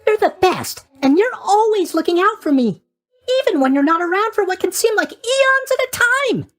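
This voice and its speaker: A man's voice: very high-pitched, very animated, normal speed, fairly bright tone, a little hiss or hum, exaggerated voice. A man voicing a chatty, eager-to-please young god of sleep in a video game, rambling along with bubbly asides. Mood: friendly. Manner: rambling, bubbly, hesitant asides, tag questions. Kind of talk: video game character voice acting.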